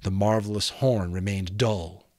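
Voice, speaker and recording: Low-voiced man reading dramatically, dry sound. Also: steady tone, a little slow, darkish tone, dry recording. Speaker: amateur audiobook narrator. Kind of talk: expressive storybook reading of a fantasy novel. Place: small home room with sloped ceiling, indoors. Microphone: close desk microphone.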